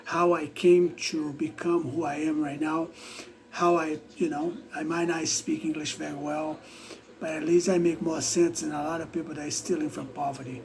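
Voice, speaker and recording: Man, Brazilian accent, high voice, rambling, halting restarts, casual vlog monologue. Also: flat delivery, low-quality recording. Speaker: vlogger.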